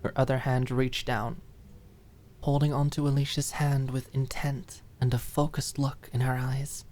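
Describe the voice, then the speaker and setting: A woman reading dramatic scripted fiction as an audiobook narrator, with expressive, emotive storytelling. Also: extremely low pitch, unhurried.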